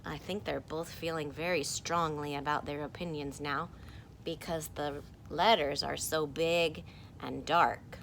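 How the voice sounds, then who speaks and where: A woman teaching kindergartners in a read-aloud lesson, speaking expressively and pausing to ask questions. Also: low voice, creaky, slight background noise, low-quality recording. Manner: expressive, asks questions and pauses for answers.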